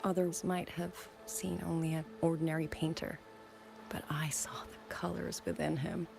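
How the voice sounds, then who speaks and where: An actress playing a grieving former musician turned soldier in a video game, speaking softly and measuredly with wistful resolve, over background music. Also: female voice, sits low, level tone, normal speed, gravelly. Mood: tender, sorrowful. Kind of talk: dramatic video game voice acting.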